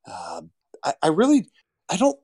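A man appearing as a guest on a podcast, chatting in a relaxed, rambling way. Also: on the high side, moderate pace, husky, dry recording, studio quality. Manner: relaxed, rambling, many fillers. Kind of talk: casual chat.

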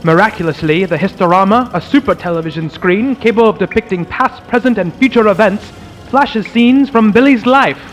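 A man's voice: sits high, a little fast. A man narrating a dramatic old-time radio serial in a theatrical announcer's manner. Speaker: podcast host and narrator.

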